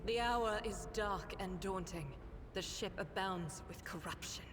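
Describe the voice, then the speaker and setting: A woman voicing a zealous, devout warrior nun in a video game, speaking slowly and solemnly. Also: mid-range voice, lightly acted. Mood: grim. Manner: solemn, slow, prayer-like delivery. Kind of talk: voiced game dialogue. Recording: echoey hall reverb under game music. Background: game music.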